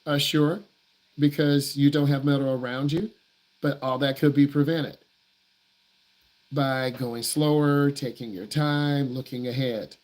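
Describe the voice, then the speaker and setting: Guy driving instructor, blunt and emphatic, repeats stuff for stress, mid pitch. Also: steady tone, unhurried, a little mellow. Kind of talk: live stream Q&A with viewers.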